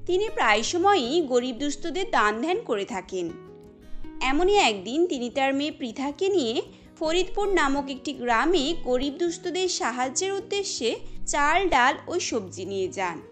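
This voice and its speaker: A woman narrates a cartoon story in a high voice and reads it evenly and plainly. Music plays softly behind her. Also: sing-song, moderate pace.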